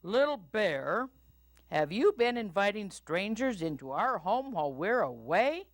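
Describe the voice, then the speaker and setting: A woman voicing a big, stern, scolding father bear with a booming voice in a children's story. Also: sing-song, measured pace, dry recording, clean studio sound.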